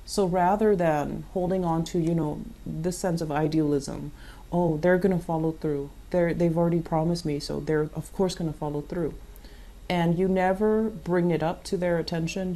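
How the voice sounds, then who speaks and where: A woman's voice: low-pitched, mellow. A woman giving a tarot reading, advising the listener earnestly and repeating phrases for emphasis. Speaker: tarot reader.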